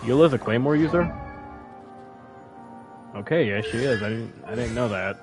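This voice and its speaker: A man with a medium-pitched voice comments casually on a game he is playing. He rambles and thinks aloud in an informal way. Game music is clearly heard behind him. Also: expressive, normal speed. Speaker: gaming video creator.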